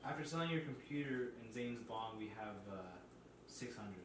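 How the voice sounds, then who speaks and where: A man playing a laid-back young housemate with a low-key, offhand delivery in a casual table read. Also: moderate pace, a bit bright, lightly acted. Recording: roomy, distant mic, faint noise.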